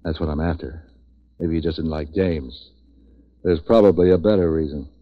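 An actor playing a streetwise, wisecracking man for hire in 1940s radio noir, terse and deadpan. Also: very deep voice, moderate pace. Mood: blunt, cool. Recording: muffled vintage radio recording.

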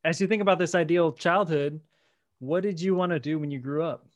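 Man hosting a relaxed interview, higher-pitched voice. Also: fairly quick. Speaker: podcast interviewer and host. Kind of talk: conversational interview.

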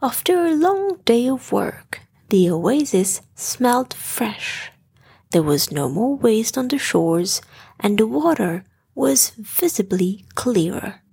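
A woman narrating a scripted bedtime story in a soft, gentle storytelling manner. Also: fairly high pitch, very animated, takes it slowly, vocal fry, breathy edge. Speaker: storyteller, podcast host.

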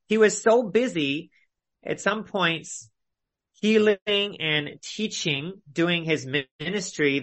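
A man preaching an expository Bible study, teaching in measured phrases and repeating them for emphasis. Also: mid-range voice, sharp tone. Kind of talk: expository sermon with illustrations.

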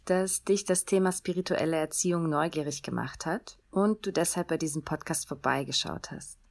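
A woman in her thirties from Germany hosting a solo podcast, talking in an earnest, gentle way with the odd um. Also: lowish voice. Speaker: podcast host.

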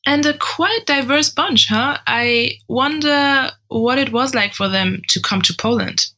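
A woman's voice: high voice, a little slow, pushed and bright. A woman co-hosting a podcast, asking curious, prompting questions. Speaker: podcast co-host. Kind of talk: scripted podcast conversation.

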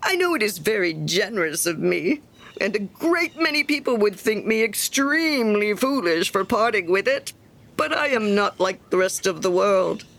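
A woman's voice: medium pitch, sing-song, normal speed, a bit bright. A woman voicing a pompous, self-righteous male miller who talks in a smug, preachy way. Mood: self-satisfied.

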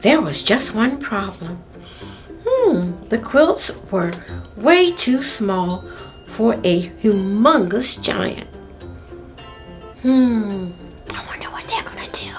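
A woman reads a story aloud for young children in a high, very animated voice. She draws out and repeats words for emphasis, with a creaky edge to her exclamatory delivery. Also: takes it slowly, long pauses, faint music behind. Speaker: children's story-time host and reader.

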